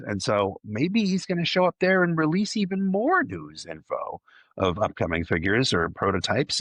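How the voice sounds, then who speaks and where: A man's voice: mid-range voice, a bit bright, no echo. A man presenting a fan news segment as a podcast host, partly scripted, with playful enthusiasm and wry asides.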